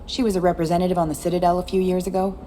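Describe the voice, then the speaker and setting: A woman's voice: fairly low pitch, flat delivery, racing, mellow, slight background noise, lightly acted. A woman playing a tough, cynical former security chief in video game dialogue, blunt and guarded. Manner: blunt, matter-of-fact.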